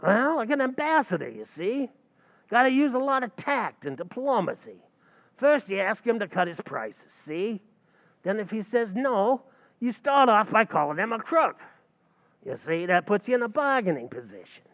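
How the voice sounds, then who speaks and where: Actor as a scheming New barman, high and bouncy, lo-fi radio comedy. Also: big pitch swings, moderate pace. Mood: wisecracking. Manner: wisecracking, mangles grammar. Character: fast-talking scheming male tavern manager. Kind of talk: 1940s radio acting.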